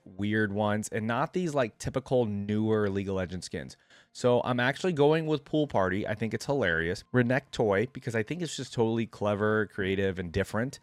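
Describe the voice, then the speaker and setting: A man's voice: medium pitch, no room sound. A man giving casual reaction commentary in a conversational way, thinking aloud and sharing his opinions. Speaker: reaction video creator.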